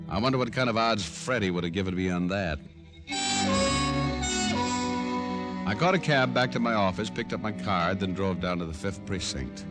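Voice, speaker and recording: Male actor as wisecracking American radio gumshoe, world-weary but lively in delivery, lowish register. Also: natural voice. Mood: musing narration. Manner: wry, sardonic, breezy. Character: wisecracking, world-weary New York private detective. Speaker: lead actor and narrator. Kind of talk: 1940s-50s radio detective acting. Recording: old radio recording with music.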